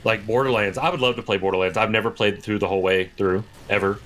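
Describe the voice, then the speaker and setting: A man with a fairly low voice talks casually as a podcast co-host. He explains his points conversationally, easing into sentences with hesitant restarts. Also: steady tone, fast, a bit bright.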